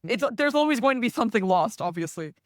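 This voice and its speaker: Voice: mid-range voice, expressive, dry recording. Host: native Russian speaker, mid-range voice, dry close mic, explains patiently. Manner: explanatory, measured, chatty. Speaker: podcast host. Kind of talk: casual interview conversation.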